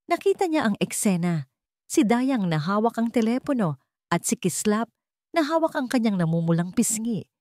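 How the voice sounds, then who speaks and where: A woman's voice: fairly high pitch, very animated, moderate pace, mellow, dry recording, studio quality. A woman reading a dramatic story as a scripted narration.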